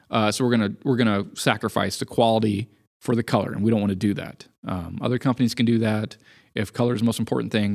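A man co-hosting a podcast in casual chat, a bit raspy from a bug, explaining things at length with everyday comparisons. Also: mid-range voice, darkish tone. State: sick with a cough. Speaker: podcast co-host.

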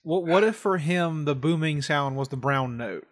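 A man's voice: a little high, moderate pace. A man chatting casually with a joking, teasing manner. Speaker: podcast co-host. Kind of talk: casual chat.

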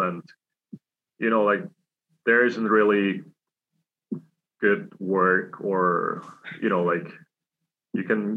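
Low gravelly man, halting, lo-fi. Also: pushed and bright. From Switzerland. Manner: halting, many fillers and restarts. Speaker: hockey player. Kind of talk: casual interview answers. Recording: dull lo-fi sound.

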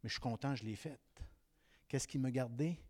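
A man in his fifties with a Québécois French accent, preaching a sermon that swings between raised exhortation and quiet, confiding asides. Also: medium pitch, normal speed, no echo, clean studio sound. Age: 50s.

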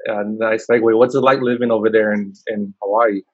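A slightly husky man hosts a casual podcast chat in a relaxed, slangy way, padding his questions with "you know" and "like." The recording is close and dry, with no room sound. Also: fairly low pitch, fairly quick, no room sound, narrow, tinny audio. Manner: laid-back, slangy, many fillers. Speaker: podcast host.

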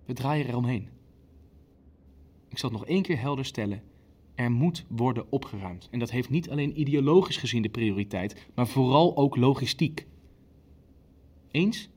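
An actor playing an impatient, ruthless Nazi official in a radio play, insistent and coldly matter-of-fact. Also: male voice, mid-range voice, expressive, normal speed, long pauses, a little mellow, husky. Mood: cold, pressing. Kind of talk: dramatic dialogue in a radio play.

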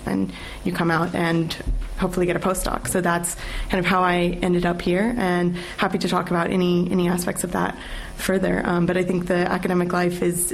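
A woman academic speaking on a panel about her degree and academic life, relaxed and lightly humorous. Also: on the low side, rough recording. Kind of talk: informal panel talk.

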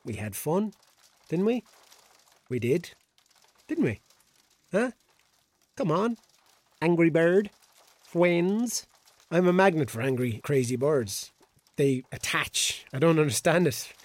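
A woman playing a blunt, sweary, hungover young character in a radio drama, halting and exasperated as nerves take over. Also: low voice, big pitch swings, darkish tone, no echo, heavily acted. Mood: awkward, placating. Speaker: actor in an audio drama. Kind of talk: naturalistic radio drama acting.

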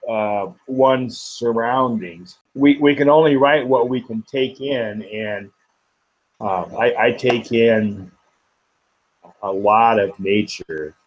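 A man, a poet and guest, speaking slowly and haltingly with many fillers in an interview. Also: mid-range voice, low-quality recording. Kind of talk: interview and poetry reading.

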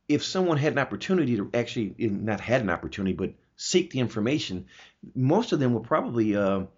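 A man with a husky voice hosting a talk show, explaining his opinions emphatically and posing rhetorical questions in a conversational way. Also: medium pitch. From Germany. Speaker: talk show host answering listener questions. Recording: dull, lo-fi audio.

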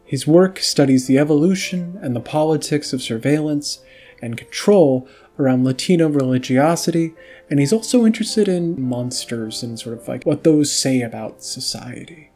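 A man's voice: fairly high pitch, lively intonation, normal speed, mellow, faint music behind. A young adult man hosting a podcast, chatting casually with many fillers. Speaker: podcast host.

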